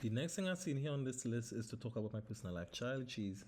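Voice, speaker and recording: Trinidadian man, 30s, solo podcast host, middle pitch, lively and profane, light hiss on the recording. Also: fast. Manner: animated, swears freely, switches into Caribbean dialect. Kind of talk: casual solo podcast monologue.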